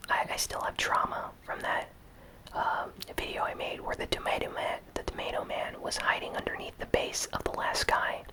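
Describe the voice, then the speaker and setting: A person talks in an unvoiced whisper and answers viewer questions one by one. The tone is bright and thin, and the talk wanders in a relaxed, rambling way. It sounds like a gamer chatting to fans. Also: rough recording. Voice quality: whispered, breathy, unvoiced delivery. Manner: relaxed, rambling, lots of "like" fillers. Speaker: gaming YouTuber answering viewer comments. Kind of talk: casual Q&A chat, reading comments aloud.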